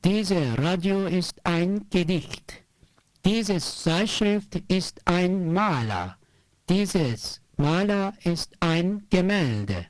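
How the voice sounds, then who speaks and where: A speaker reciting short repetitive poetic lines in a measured way. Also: medium pitch, expressive, dry recording, poor audio.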